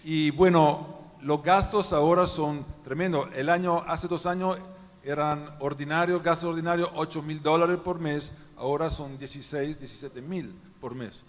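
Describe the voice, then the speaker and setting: A man's voice: on the high side, fairly even intonation, normal speed. Earnest missionary man in an echoing church. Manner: earnest, emphatic lists, quiet falling endings. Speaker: missionary guest. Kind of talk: interview answers in church. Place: large church hall. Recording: long church hall reverb.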